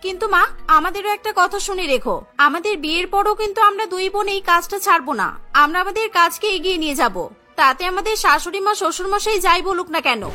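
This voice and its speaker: A woman's voice: very high voice, brisk, sharp tone, soft background music. A woman voicing a confident, headstrong young twin sister who explains herself assertively. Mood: defiant.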